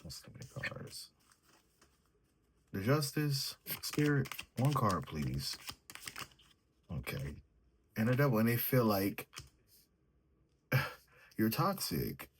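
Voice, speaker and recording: A man with a mellow, sleepy way of talking, reading tarot cards and thinking aloud as he draws them. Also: on the low side, sing-song, slow, pauses often, slight background noise. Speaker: tarot reader. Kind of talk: solo tarot reading, casual chat.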